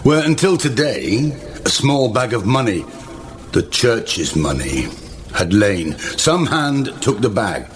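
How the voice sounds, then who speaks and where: An actor playing a severe church minister in a period radio drama, interrogating in a stern, formal manner. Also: male voice, fairly low pitch, sing-song, slow pace, a little hiss or hum. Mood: stern questioning.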